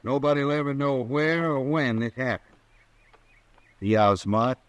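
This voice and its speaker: A man's voice: medium pitch, a little slow, dry recording, natural voice. A man playing a cold-blooded, scheming cowboy killer with a curt, folksy western drawl in an old-time radio western. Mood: smug.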